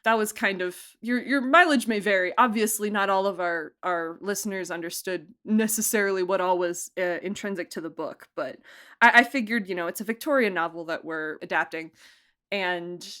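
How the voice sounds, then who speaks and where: A woman's voice: medium pitch, moderate pace, pushed and bright, clean tone. A woman being interviewed as a producer, chatting casually in a self-effacing way and prone to laughing through her words.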